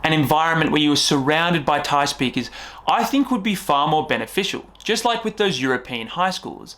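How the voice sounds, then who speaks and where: A young Australian man hosting a vlog, narrating in a brisk, upbeat, jokey way. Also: on the high side, animated, a bit bright. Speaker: host. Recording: music bed under voice.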